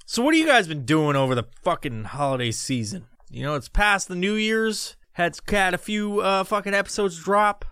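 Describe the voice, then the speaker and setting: Man, podcast host, middle-aged, swears a lot, bright voice, slightly high, dry close sound. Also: normal speed, few pauses, clean tone, dry recording. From New York. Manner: swears constantly, laughs through stories, animated impressions. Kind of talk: profane storytelling monologue.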